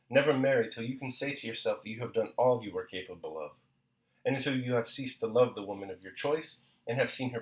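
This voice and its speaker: A man's voice: lowish voice, natural voice, poor audio. A man voicing a restrained young nobleman who speaks with frigid politeness to his wife.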